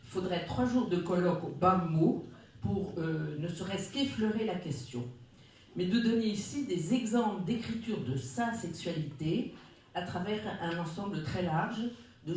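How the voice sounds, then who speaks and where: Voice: medium pitch, darkish tone. Distant speaker in a very echoey room. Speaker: round-table speaker. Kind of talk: reading a prepared talk.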